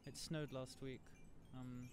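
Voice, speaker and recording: A young man from Australia answering questions in a casual street interview, with brief relaxed replies. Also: medium pitch, slow pace. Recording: tinny lo-fi audio, loud street noise.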